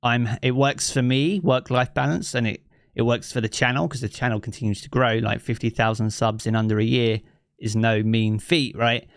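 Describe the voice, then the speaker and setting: A man's voice: medium pitch, steady tone, moderate pace, no room sound. Easygoing man from southeast England, dry sound. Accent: southeast English. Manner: chatty and easygoing, thinks aloud with many fillers. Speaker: game streamer. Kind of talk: casual live-stream chat, reading chat aloud. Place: home living room. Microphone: streaming mic with effects.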